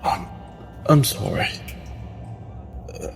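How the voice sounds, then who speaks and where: A man voicing a guilt-ridden, apologetic necromancer in a tabletop game, halting and breaking off mid-phrase. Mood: shaken, sorry. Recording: long reverb, clear music behind.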